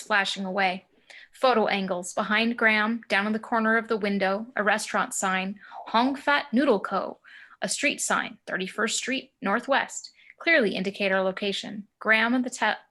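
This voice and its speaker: A woman's voice: mid-range voice, moderate pace, bright, forceful tone. A woman giving scripted narration of screenplay action and scene directions at a table read. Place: remote video call.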